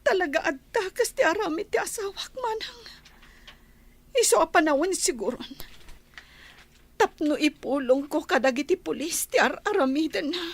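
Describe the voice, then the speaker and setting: Anguished high-voiced wife in radio drama. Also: female voice, expressive, moderate pace, pauses often. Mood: troubled, pleading. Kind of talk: Ilocano radio drama acting.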